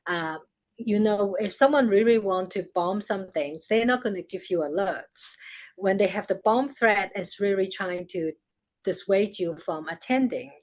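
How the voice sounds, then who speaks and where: A woman's voice: mid-range voice, normal speed. Older Chinese-accented woman, earnest guest. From China. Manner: earnest and explanatory, steady pace with frequent fillers. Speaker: guest speaker. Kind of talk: town hall interview.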